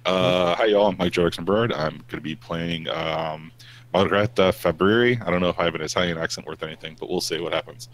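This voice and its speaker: A man's voice: deep voice, steady tone, fairly quick, poor audio. A man playing in a tabletop game, chatting in a wry, talkative way over an online call. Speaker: player. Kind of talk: casual chat in a tabletop game.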